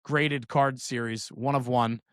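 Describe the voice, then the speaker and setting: A man's voice: mid-range voice, steady tone, unhurried, fairly bright tone, dry recording, clean studio sound. A middle-aged man in a casual, enthusiastic show-and-tell chat, speaking informally with slang and often checking for agreement. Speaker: guest, trading card brand founder.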